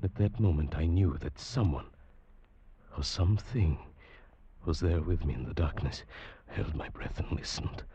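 An actor playing an uneasy traveller who narrates an eerie tale in a dramatic 1940s radio drama style. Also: male voice, extremely low pitch, moderate pace, breathy edge, exaggerated voice. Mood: tense, fearful. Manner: dramatic, hushed swelling to agitated. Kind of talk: 1940s radio drama acting, first-person scripted narration. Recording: old radio broadcast with music.

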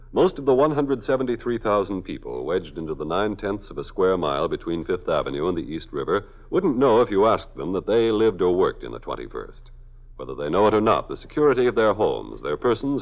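A man's voice: low-pitched, heavily acted. A man announcing in a grave, declamatory 1950s radio style. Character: solemn deep-voiced announcer man. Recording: dull old radio broadcast.

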